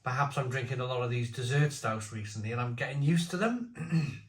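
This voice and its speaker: A man's voice: medium pitch, animated, a little fast. A man in his forties giving a casual, opinionated beer review, talking bluntly in rambling asides. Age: 40s. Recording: roomy echo.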